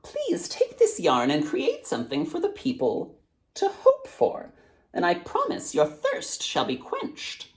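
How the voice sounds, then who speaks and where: A woman as a very old weaver, pitched high. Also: huge pitch swings, a little slow, full character voice. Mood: gentle pleading. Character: very old weaver woman, gentle, generous and mysterious.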